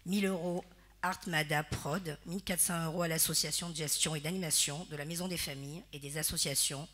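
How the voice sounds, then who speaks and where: A woman council member reading out a formal report with its figures, steady and formal. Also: low voice, fairly quick. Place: municipal council chamber.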